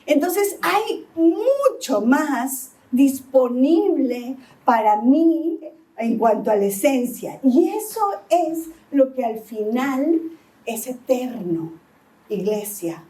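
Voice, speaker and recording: A woman's voice: high voice, animated, slow pace, mellow, distant mic, low-quality recording. A middle-aged woman from Lima with a Peruvian accent, preaching a sermon as pastor and often asking the congregation "¿sí?". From Peru, Lima. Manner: asks the congregation "¿sí?" again and again. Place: church.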